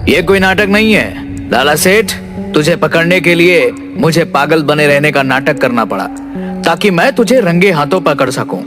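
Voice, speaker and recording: A man voicing a righteous village police inspector in an animated moral story, firm and resolute. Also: on the high side, moderate pace, natural voice. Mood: commanding. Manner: commanding, resolute. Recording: music behind the voice.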